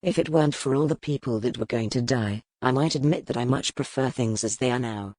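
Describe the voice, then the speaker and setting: An actor playing a stern, brooding medieval duke in an audiobook, with a commanding, formal manner. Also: male voice, mid-range voice, fairly quick, a little mellow, dry recording, clean studio sound, natural voice. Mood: grim, reflective. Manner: commanding, formal period speech. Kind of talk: dramatic audiobook character acting.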